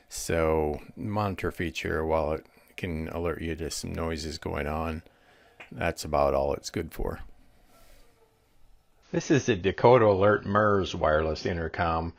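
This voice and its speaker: A man's voice: deep voice, gravelly. A man giving a casual product demonstration as a reviewer, explaining things in a plain-spoken, hesitant way.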